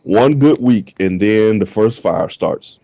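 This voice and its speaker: A man's voice: lowish voice, expressive, slow, vocal fry, warm tone, no room sound, highs cut off. A man in his thirties from the US South, co-hosting a podcast, telling loose, profane stories in casual chat. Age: 30s. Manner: loose, profane storytelling with frequent laughs. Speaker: podcast co-host.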